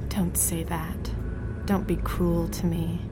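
Actress as defiant captive wife, sharp-witted, bitter, unhurried delivery, audio drama, music underneath. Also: female voice, on the low side, little pitch movement. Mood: pleading. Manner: deliberate, defiant.

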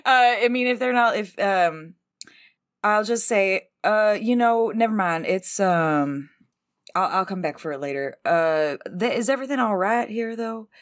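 A woman's voice: medium pitch, expressive, a little slow. A woman playing a tabletop roleplaying game, talking casually and rambling through questions and asides. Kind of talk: casual, improvised table talk.

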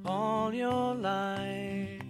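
A woman singing a gentle song in a folk style, accompanied by guitar. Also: medium pitch, steady tone, drawn-out words. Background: guitar music.

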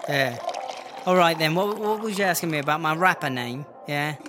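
A man's voice: fairly high pitch, moderate pace, bright, forceful tone, slight background noise. A man playing a brash, foul-mouthed English female prison rapper in a comedy audio drama, chatty and blustering in slang. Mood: boastful. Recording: music under the voice.

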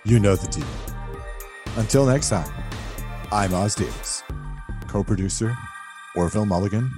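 Low-voiced man hosting a podcast over music. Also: moderate pace. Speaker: podcast host and co-producer. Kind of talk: disc jockey style podcast hosting.

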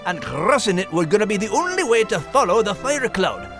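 A man's voice: high-pitched, very animated, fairly quick. A man playing a plucky, grumbly but good-hearted dog with a Scottish accent in a children's audio drama. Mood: folksy, blustery. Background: music.

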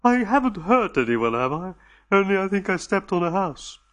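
Male reader voicing a timid alien host, anxious and apologetic, pitched up, slightly husky. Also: expressive, normal speed, a bit bright.

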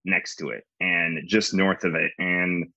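A young man from the Chicago area chats on a podcast in a low, bright, forceful voice, wandering from story to story and leaning on "like" as filler. The sound is dry and a little dull and lo-fi. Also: level tone, measured pace, vocal fry, dry recording. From Chicago area, USA. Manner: rambling, digressive storytelling with lots of "like". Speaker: guest comedian. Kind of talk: casual podcast chat.

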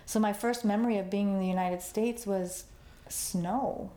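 A woman in her forties, born in Vietnam, talks through her story in a loose, conversational way. Her voice is mellow and warm, and she keeps checking in with "you know" and "right?". The room around her sounds echoey. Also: mid-range voice, steady tone, unhurried. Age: 40s. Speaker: artist guest. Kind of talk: conversational interview.

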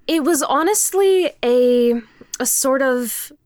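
Clear-voiced woman, candid and informal, dry recording. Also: sits high, lively intonation, slow pace, darkish tone, no echo. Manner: candid, informal, swears freely. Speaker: podcast guest, audio drama creator. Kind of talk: casual interview chat.

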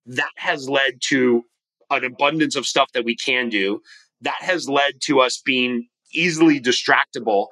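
A man in his forties, a guest on a podcast, talking fast and energetically, rambling with restarts. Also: mid-range voice, sharp tone, rough recording. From California. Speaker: podcast guest, mortgage professional and coach. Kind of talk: casual interview talk.

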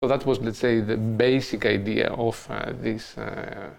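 A middle-aged man from Greece, a native Greek speaker, answering interview questions as an artist in a thoughtful, halting way with many fillers. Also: on the low side, steady tone, gravelly, a little mellow. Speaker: artist guest. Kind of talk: interview conversation.

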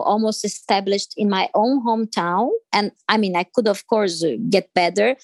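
A woman's voice: medium pitch, no room sound. Brazilian woman, hesitant, dry close sound. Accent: Brazilian Portuguese. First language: Portuguese. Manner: hesitant, many fillers and restarts. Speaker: interview guest. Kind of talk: reflective interview answers.

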